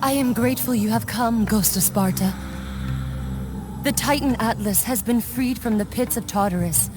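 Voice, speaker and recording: An actress voicing an earnest, weakened goddess of the dawn in a video game, solemn and pleading. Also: female voice, fairly high pitch, normal speed, close to an everyday voice. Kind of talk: dramatic video game acting. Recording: music behind the voice.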